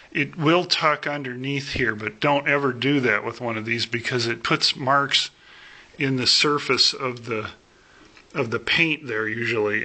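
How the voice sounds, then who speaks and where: A man giving a casual, unscripted product demo as a seller, halting and thinking aloud, recorded on a camera phone. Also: medium pitch, bright, forceful tone. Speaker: private seller demonstrating a vintage radio. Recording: noisy camera phone audio.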